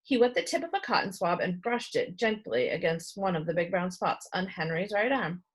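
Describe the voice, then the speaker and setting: Husky, animated woman reading a storybook. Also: lowish voice, moderate pace. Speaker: storyteller reading a children's book aloud. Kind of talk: expressive read-aloud narration.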